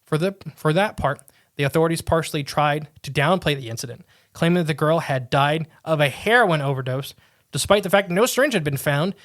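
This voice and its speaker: A man's voice: fairly high pitch, fairly quick, fairly bright tone. A man co-hosting a podcast, reading a strange tale aloud with casual asides, often tripping over words and restarting. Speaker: podcast co-host.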